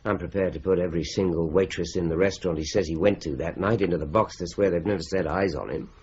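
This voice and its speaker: An actor playing a steady, methodical police inspector, measured and confident, in a muffled old radio play. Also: male voice, low-pitched, slight background noise, close to an everyday voice. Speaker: detective inspector. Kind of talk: 1950s-style radio drama acting. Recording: dull, muffled vintage radio recording.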